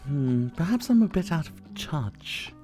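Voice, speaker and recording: A man's voice: fairly high pitch, sing-song, unhurried, mellow, soft background music. A man acting a flirtatious, possessive dictator in an ASMR roleplay, with a theatrical, teasing, menacing delivery. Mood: playful, commanding.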